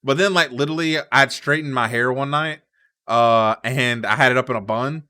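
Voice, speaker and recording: A man's voice: mid-range voice, normal speed, pushed and bright, clean tone, no room sound, clean studio sound. A young man co-hosting a podcast, telling long stories in casual chat, full of fillers and restarts. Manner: rambling storyteller, many fillers and restarts. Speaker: podcast co-host.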